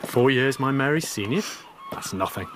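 An actor playing a remorseful, bitter working seaman in a radio drama, with a husky voice. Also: medium pitch, lively intonation, moderate pace, noisy background, natural voice. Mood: fond, teasing.

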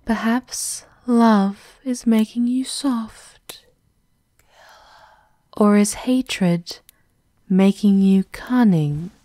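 A woman playing a devoted, menacing servant of a vampire countess, formal and softly sinister in an ASMR roleplay, often in a half-whisper. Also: medium pitch, very slow, long pauses, no room sound. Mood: quietly accusing.

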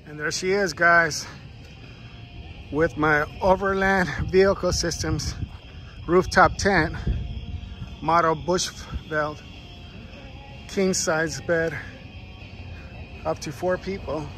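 Excited man showing off his new gear. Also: on the high side, takes it slowly, long pauses, soft background music. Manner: enthusiastic, promotional. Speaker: vlogger. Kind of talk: casual vlog walkthrough. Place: outdoors at a warehouse lot and a driveway. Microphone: phone camera held by speaker.

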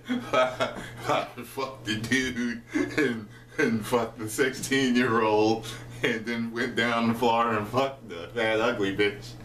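Profane, animated man vlogging in a kitchen. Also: medium pitch, slow, distant mic. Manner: animated, profane, slangy street talk. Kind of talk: casual storytelling to camera. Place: small home kitchen, indoors. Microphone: camera a few feet away. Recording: roomy echo, voice a bit off-mic, faint hiss.

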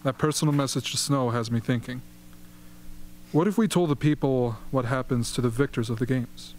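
A man acts a calculating rebel strategist in a radio drama. His pitch is medium, with faint hum in the recording. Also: normal speed, a little mellow, close to an everyday voice. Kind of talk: amateur radio play acting. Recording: faint hum and background noise.

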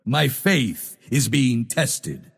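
A man playing a proud, stern zealot knight in a video game, with a raspy, gravelly voice and a pompous, solemn, imperious delivery. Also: mid-range voice, big pitch swings, extremely slow, heavily acted. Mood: troubled, devout. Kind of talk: video game character voice lines.

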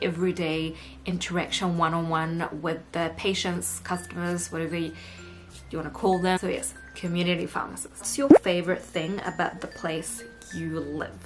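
A woman in her late twenties with a New Zealand accent, chatting casually to camera as a vlogger. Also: lowish voice. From Egypt, moved to New Zealand aged nine. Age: late 20s. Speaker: YouTuber hosting a vlog. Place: indoor room at home. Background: background music.

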